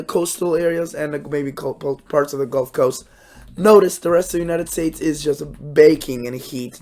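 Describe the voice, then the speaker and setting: A young man hosting a weather channel, hoarse and raspy from a cold, talking casually through his forecast with lots of fillers and restarts. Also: medium pitch, a little mellow. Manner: rambling, many fillers and restarts.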